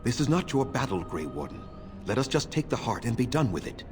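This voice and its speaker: A man acting as a proud, bitter, ancient elf clan leader in a fantasy game, speaking in a measured, formal and condescending way. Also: medium pitch, very animated, music in the background, lightly acted. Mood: contemptuous.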